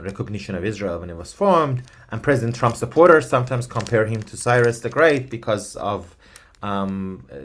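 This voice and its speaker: A man from Iran with a fairly low voice, speaking as a guest expert. His first language is Persian, and he hesitates often, with many ums and restarted phrases. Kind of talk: explanatory interview answers.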